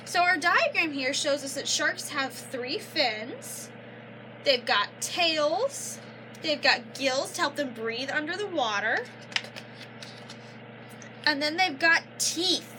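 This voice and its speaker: A young woman reading a children's picture book aloud like a teacher, pausing to explain and prompt with questions. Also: sits high, animated, background noise. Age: young adult. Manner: instructive, prompting listeners with questions and affirmations.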